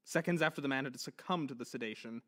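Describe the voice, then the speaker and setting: A man's voice: medium pitch, fast talker, sharp tone. A man narrating a horror story in an intense, theatrical manner.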